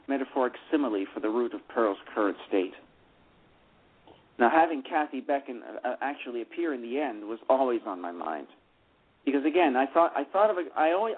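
A man talking about how he adapted a play, rambling with many restarts and fillers. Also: mid-range voice. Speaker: playwright discussing his adaptation. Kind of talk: casual radio discussion. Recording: phone-line radio call.

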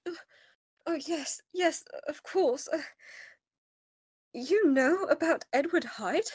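A woman playing a shaken young Victorian woman in a radio play, telling her tale in a dramatic, deliberate way. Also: high-pitched, animated, a little mellow, no echo. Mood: shaken, grieving. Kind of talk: radio play acting and first-person narration.

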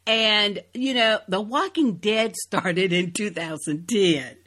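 A woman's voice: medium pitch, takes it slowly, a bit bright. A woman co-hosting a casual, conversational interview podcast. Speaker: co-host interviewer.

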